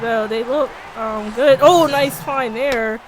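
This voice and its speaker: A woman's voice: fairly high pitch, takes it slowly. Chatty woman color commentator over noise. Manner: upbeat, chatty, laughs easily, lots of likes. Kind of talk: casual sports commentary banter.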